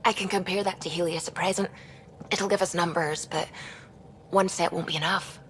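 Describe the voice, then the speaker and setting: A woman playing a clever, brisk scientist in a space adventure game, informal and matter-of-fact with a wry edge. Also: on the low side, steady tone, a bit bright, slight background noise, close to an everyday voice. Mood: thoughtful. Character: brisk, clever female scientist crew member. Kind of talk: video game cutscene acting.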